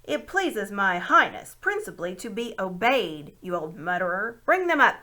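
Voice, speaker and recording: An imperious young prince played by a woman in a storybook. He calls out grandly and haughtily, with big swings in pitch and a ringing, commanding delivery. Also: big pitch swings, normal speed, bright, forceful tone.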